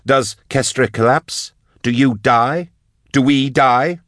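A man voicing an outspoken, indignant male scholar who challenges and yells sarcastically in an audiobook story. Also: mid-range voice, slow pace, no room sound, studio quality, pitched above the performer's own voice.

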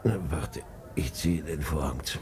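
An actor playing a sober, down-to-earth husband in a German radio drama, with a gravelly voice, calming his wife on a noisy recording. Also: rumbling low, a little slow. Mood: calming, practical.

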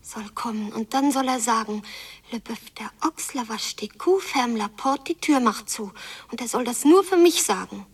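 A woman's voice: a little high, normal speed, husky. A woman playing an insistent young girl who longs for her father, chanting her phrases in a radio drama.

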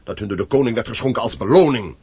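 An actor playing a stern, wealthy mine owner in a radio drama, curt, proud and threatening. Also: male voice, mid-range voice, expressive, fast, a little mellow, breathy edge, no room sound. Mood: indignant, threatening.

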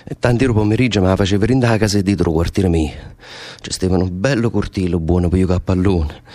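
A man's voice: low-pitched, quick pace, warm tone, natural voice. Male prisoner, played by an actor, Neapolitan accent, volatile and lovesick, impassioned rambling storyteller for radio theatre. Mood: amused reminiscing. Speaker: stage actor. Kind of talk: radio theatre dialogue in dialect.

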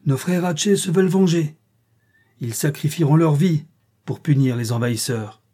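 A man's voice: fairly high pitch, normal speed, warm tone, slightly rough, pitched above the performer's own voice. A man voicing a commanding chieftain giving an imperious speech to a crowd, in a storybook reading. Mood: rousing. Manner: imperious oratory.

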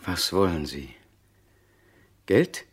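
Troubled husky guy accused of something, indignant, voice swings up and down, crime radio play. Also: low-pitched, animated, extremely slow, full character voice. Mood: defensive, indignant. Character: accused male driver Latour, troubled, insistent, indignant. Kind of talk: German crime radio drama acting.

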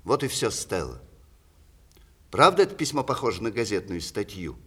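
A man's voice: lowish voice, very animated, normal speed, bright, forceful tone, breathy edge, full character voice. An actor playing a witty, opinionated ageing playwright reading out his letters in declamatory, ironic 1960s Russian radio theatre style. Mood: wry, reflective.

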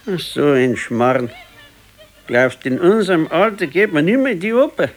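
An actor playing an elderly working-class husband with a Bavarian accent in a naturalistic radio play, curt, dry and grumbling. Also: male voice, fairly high pitch, animated, moderate pace, lightly acted. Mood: dry, indulgent.

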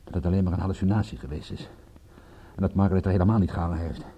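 Husky, restless private detective. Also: male voice, deep voice, very fast, mellow. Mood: agitated, self-doubting. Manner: stammering, restless, self-reproaching. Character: agitated, self-doubting male private detective, dogged. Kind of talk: Dutch radio play dialogue and first-person narration.

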